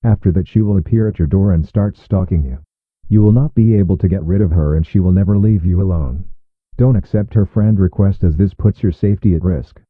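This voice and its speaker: A man's voice: low-pitched, a little fast, no room sound. A man reading a scripted emergency alert in a formal, stern manner, as an announcer. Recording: muffled phone-like audio with music behind.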